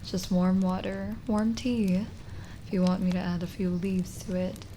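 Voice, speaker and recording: A woman playing a lonely, kindly witch in an ASMR roleplay, speaking gently and coaxingly with hesitant pauses and drifts into whispering. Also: on the low side, fairly even intonation, a little hiss or hum, natural voice. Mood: reassuring. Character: lonely, kindly forest witch, soothing and hospitable. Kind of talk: ASMR roleplay audio drama.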